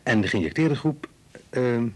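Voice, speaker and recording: An actor playing a brusque, absorbed research scientist in a Dutch radio play, with a dry manner. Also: male voice, mid-range voice, animated, unhurried, darkish tone. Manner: dry, brusque, mutters figures to himself.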